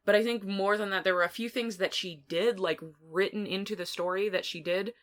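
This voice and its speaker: A woman's voice: mid-range voice, steady tone, a little fast. A woman co-hosting a podcast in casual film discussion, repeating and correcting herself as she explains her points. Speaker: co-host of a horror film review podcast.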